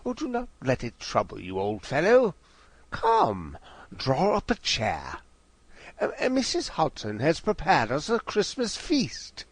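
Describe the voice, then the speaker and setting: A theatrical Victorian detective for an audio drama. He speaks slowly and very formally, with hugely swooping pitch. He comes across as cheerfully condescending. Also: wildly swooping pitch, no room sound, full character voice. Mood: jovial, teasing. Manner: drawling, grandly formal, swooping delivery.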